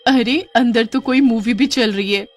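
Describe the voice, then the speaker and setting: A woman voicing a headstrong, excitable young girl in a cartoon, exclaiming in a childlike way. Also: on the high side, normal speed, voice pushed up, natural voice. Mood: curious. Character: headstrong movie-mad young girl, boastful yet easily frightened. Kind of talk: Hindi cartoon voice acting. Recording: music under the voice.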